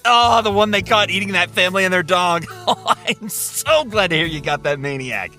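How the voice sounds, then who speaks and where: A man's voice: high-pitched, lively intonation, normal speed, pushed and bright, heavily acted. A man acting a catty, theatrical sci-fi game show producer in an audio drama, gushing and sardonic. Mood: gleeful. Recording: music playing behind the voice.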